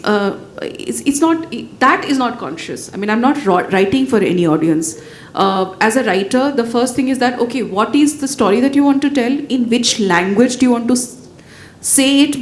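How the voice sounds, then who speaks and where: A woman writer whose first language is Bhojpuri speaks on a panel in an echoey hall. She answers thoughtfully, with many fillers and restarts. A faint rumble sits low behind her voice. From India. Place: event hall with audience.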